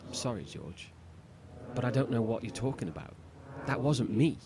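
A man's voice: mid-range voice, animated, moderate pace, close to an everyday voice. Troubled, faltering man played by an actor, noisy background. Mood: lost, unsure. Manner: hesitant, faltering, emotional. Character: troubled, gentle man trapped in darkness, longing for family. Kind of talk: horror audio drama acting.

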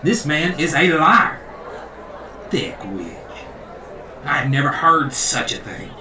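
An actor playing a pompous, indignant, crooked church leader with a preachy, folksy manner in a comedic audio drama. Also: male voice, a little high, very animated, slow. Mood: blustering. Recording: echoey room, distant, crowd behind.

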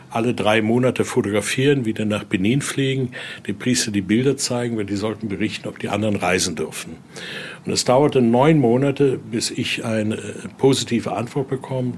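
A man's voice: lowish voice, slight background noise. A man informally guiding visitors through an exhibition, telling stories and often tagging his sentences with short questions. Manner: frequent question tags at ends of sentences. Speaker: guide and collector showing a Voodoo altar exhibition. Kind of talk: informal guided tour, storytelling.

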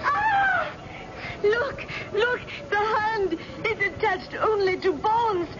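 An actress in a radio drama playing an excitable, horrified woman on a dig. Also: very high-pitched, slow pace, heavy background noise, heavily acted. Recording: dull lo-fi old radio drama.

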